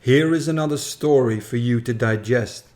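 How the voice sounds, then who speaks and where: A man reading a scripted poem as a storyteller, in a measured, formal recitation. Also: mid-range voice, darkish tone. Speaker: reader of a poem. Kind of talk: scripted poetry narration, storytelling.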